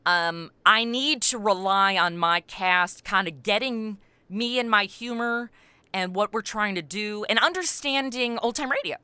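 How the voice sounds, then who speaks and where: Bright, clear-voiced middle-aged woman. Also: medium pitch, unhurried, dry recording. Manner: animated, self-deprecating, acts out quoted remarks. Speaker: podcast creator and voice actor, interview guest. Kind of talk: casual interview chat.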